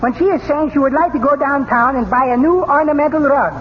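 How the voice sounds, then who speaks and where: An actor playing a cheerful immigrant neighbor who rambles through garbled English, in 1930s radio comedy style. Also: male voice, extremely high pitch, brisk. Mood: confidently muddled. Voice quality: thick dialect with comically garbled words. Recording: noisy, muffled 1930s radio recording.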